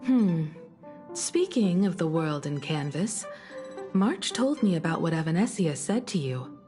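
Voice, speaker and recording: Game role: composed woman navigator and mentor, lowish pitch, measured and tender delivery, music behind. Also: very animated, dark tone. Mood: serious. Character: composed, caring woman starship navigator, proud and nostalgic mentor. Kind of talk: video game story dialogue.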